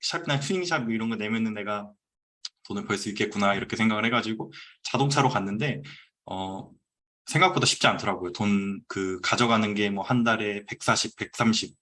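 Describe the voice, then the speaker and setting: A man around thirty giving a relaxed, conversational talk on a video call, pausing often with "uh". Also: on the low side. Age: 30s. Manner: relaxed, conversational, frequent "uh" pauses. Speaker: guest speaker presenting his business story. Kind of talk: casual presentation on a video call. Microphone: computer mic on a video call.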